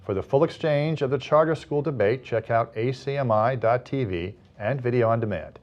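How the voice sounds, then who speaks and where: A man's voice: mid-range voice, normal speed, darkish tone. Male American news anchor, scripted read, mid-range voice, a little muffled on the recording.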